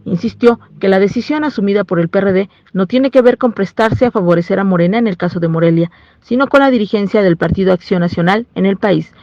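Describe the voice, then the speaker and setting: A woman's voice: mid-range voice, fast, darkish tone, dry recording, muffled recording. A woman with a Mexican accent reading a scripted news report as a reporter.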